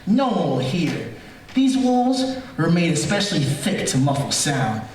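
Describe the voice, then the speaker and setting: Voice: medium pitch, very animated, unhurried, darkish tone. A stage performer playing a scheming, vengeful murderer in an old-time radio drama, theatrical and gloating, in an echoey theater. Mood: gloating menace. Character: scheming vengeful murderer, gleefully sadistic villain with poor eyesight. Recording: echoey theater, distant mic.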